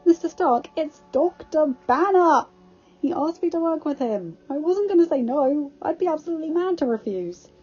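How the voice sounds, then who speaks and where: A woman voicing an excitable, friendly teenage boy with an earnest, bubbly, informal way of talking in a story reading. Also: sits high, moderate pace, lo-fi sound, soft background music, voice pushed up. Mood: excited.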